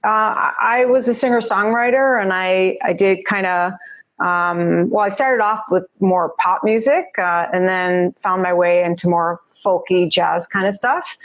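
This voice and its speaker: A woman's voice: mid-range voice, steady tone, a little slow. A woman with a New Jersey accent, a mindfulness teacher chatting as a podcast guest, laughing often and talking with many fillers. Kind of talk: casual podcast interview.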